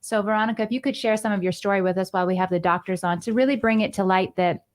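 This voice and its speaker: A woman hosting a talk show, introducing a guest in a measured, slightly halting way. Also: medium pitch, fairly even intonation. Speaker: host.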